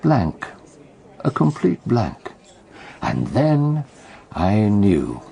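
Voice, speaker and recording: Theatrical, low-voiced British detective storyteller. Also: male voice, wildly swooping pitch, gravelly, warm tone, slightly rough, exaggerated voice. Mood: grave, suspenseful. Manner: theatrical, measured storytelling with pointed pauses. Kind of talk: BBC radio detective drama acting.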